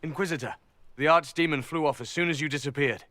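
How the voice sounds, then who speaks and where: A man's voice: on the high side, fast, bright, forceful tone, dry recording. An actor playing a dutiful male soldier reporting to his commander in a video game, formal and earnest. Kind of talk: dramatic video game acting.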